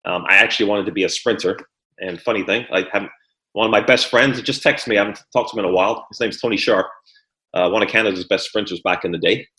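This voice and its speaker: A man with a very low voice answers interview questions in a relaxed, unhurried way. He is middle-aged, from Guyana and raised in England, and restarts his sentences with repeated words. The room gives his voice a roomy echo. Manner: easygoing, repeats words when starting sentences. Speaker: former Olympic hurdler and trainer, guest. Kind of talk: conversational interview. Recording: echoey room.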